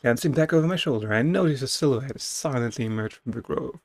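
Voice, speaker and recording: A man's voice: medium pitch, fast talker, darkish tone, no echo. A man reading a video game's story aloud as a dramatic narration, with playful casual asides. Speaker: video game streamer reading game text aloud.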